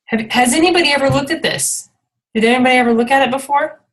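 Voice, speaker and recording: A woman's voice: sits high, fast talker. A woman leading an informal motivational team training call, rambling a little and often checking in with her listeners as she encourages them. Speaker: team leader and coach hosting a call.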